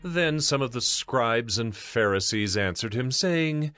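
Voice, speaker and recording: A man narrating a dramatized scripture reading in a measured, solemn way. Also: medium pitch, animated, bright, forceful tone, faint music behind.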